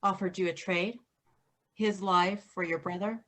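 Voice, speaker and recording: A woman's voice: mid-range voice, fairly even intonation, unhurried, a bit bright, natural voice. A woman playing a shrewd, wry engineer in a staged play reading. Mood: skeptical.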